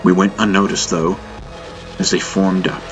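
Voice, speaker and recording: A man's voice: low-pitched, flat delivery, normal speed, loud music behind the voice. A man reading a science-fiction audiobook as a dramatic scripted narration.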